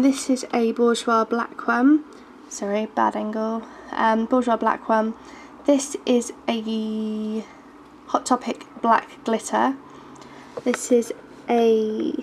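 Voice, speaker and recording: A young woman with a groggy voice, casually showing her makeup collection in her bedroom, with plenty of ums and small laughs. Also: a little high. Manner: chatty, hesitant, frequent ums and little laughs. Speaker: beauty vlogger. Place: bedroom at home. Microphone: handheld camera filming from close. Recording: noisy home video recording.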